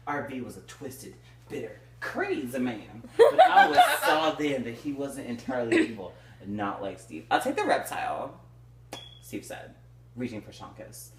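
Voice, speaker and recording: A man reading a novel aloud for friends, shouting the characters' lines and breaking into crude jokes and laughter. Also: medium pitch, huge pitch swings, normal speed, echoey room, slight background noise. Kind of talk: comedic group read-through with crude asides.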